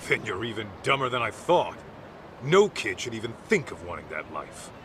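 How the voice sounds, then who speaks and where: Gruff, lively low-voiced streetwise man. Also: normal speed, a little hiss or hum. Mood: scolding, sardonic. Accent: American. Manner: blunt, sardonic, slangy. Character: gruff streetwise middle-aged man, brash protective mentor. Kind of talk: video game dub acting.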